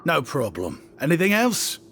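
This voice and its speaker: A young video game shopkeeper who is eager to please. His voice sits fairly high and moves animatedly through polite, effusive flattery, with light music behind. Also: normal speed, slight background noise, full character voice. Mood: eager, chatty. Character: chatty, ingratiating young male shopkeeper, eager and easygoing. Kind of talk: video game dub acting.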